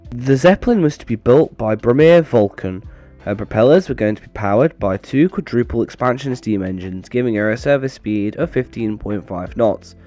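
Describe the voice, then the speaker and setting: A man narrating in a wry, deadpan way, his voice slightly off as he recovers from a bad cold. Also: mid-range voice, normal speed, faint music behind. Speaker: video creator and narrator. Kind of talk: scripted narration with dry humour, casual outro.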